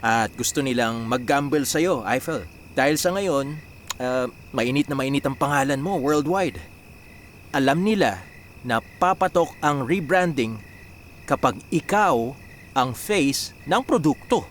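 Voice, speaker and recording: An actor with a Filipino accent playing a confident, driven business strategist in a radio drama, explaining things and mixing in English business phrases. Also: male voice, mid-range voice, animated, measured pace, a little hiss or hum, lightly acted. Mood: confident, persuasive. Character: confident, driven young male business strategist pitching a plan.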